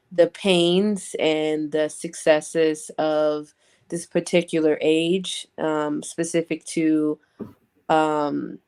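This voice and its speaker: Female panel guest, low clear voice, casual, wryly playful. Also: darkish tone. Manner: deliberate, wry, playful. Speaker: panel guest on a book live show. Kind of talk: casual chat.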